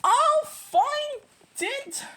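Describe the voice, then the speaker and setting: A woman's voice: very high-pitched, animated, drawn-out words, a bit bright, a little hiss or hum, voice pushed up, full character voice. A woman voicing a worried, protective male bear who talks earnestly and pleads. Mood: urgent.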